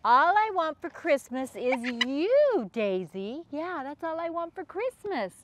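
A middle-aged woman hosting storytime, reading aloud to young children with expressive, drawn-out emphasis. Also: high voice, music in the background.

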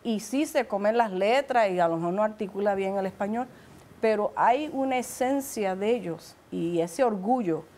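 A woman's voice: mid-range voice, warm tone. A middle-aged woman, speaking emphatically and conversationally as a guest on a studio panel. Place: television studio.

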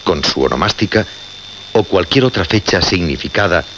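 A solemn presenter of an occult radio show, with a deep voice and Castilian accent. He insists on every phrase with deliberate weight. The old radio drama recording is muffled and noisy. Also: animated. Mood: solemn, mysterious. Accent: Castilian Spanish.

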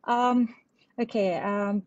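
A woman executive from Malaysia answering a panel question on a video call, with hesitant starts that settle into a steady telling. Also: fairly high pitch, slow, no echo. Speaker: CEO, panelist. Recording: dull, dry video-call audio.

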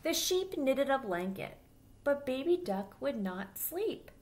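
A woman in her thirties reading a children's picture book aloud, with simple, deliberate phrasing for young listeners. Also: sits high, very animated, darkish tone, slight background noise. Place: small plain-walled room indoors.

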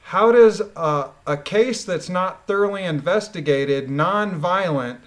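A man reading a document aloud and adding informal, emphatic commentary with halting phrasing. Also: high-pitched, animated. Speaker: commentator, former police officer.

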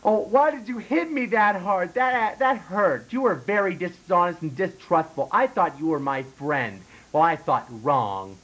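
A man's voice: sits high, animated, measured pace, few pauses, a bit bright, exaggerated voice, rough recording. A man doing a whiny sulky little boy. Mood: hurt. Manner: whining, complaining, repeats himself. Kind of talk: improvised comedy sketch.

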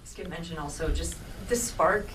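A woman sports reporter puts measured, professional questions to a player. Her voice is very low for a woman. She is heard from a distance, in a roomy space with steady background noise. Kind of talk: press conference questions.

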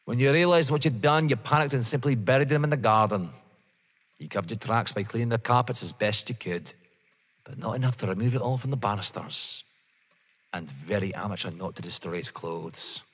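An actor playing a methodical, smugly polite police inspector in an audio drama, formal and insinuating. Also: male voice, medium pitch, a little fast, fairly bright tone, lightly acted. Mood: accusing. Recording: long hall-like reverb.

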